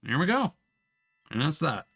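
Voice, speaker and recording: A man's voice: on the high side, sing-song, measured pace, gravelly, a bit bright, husky. A man streaming a game and reading its story aloud as narration, sometimes stumbling and correcting himself.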